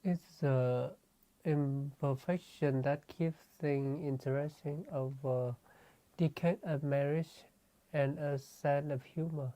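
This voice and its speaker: A woman's voice: sits low, fairly even intonation, slow, mellow, low-quality recording. A woman from Vietnam with a Vietnamese accent, co-hosting a casual chat in simple English with short replies. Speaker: co-host.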